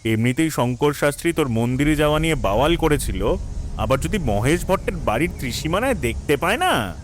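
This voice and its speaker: An actor playing a teasing, wary young friend in colloquial audio drama dialogue. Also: male voice, on the high side, very animated, fairly quick, a little hiss or hum. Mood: alarmed, scolding. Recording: prominent music behind.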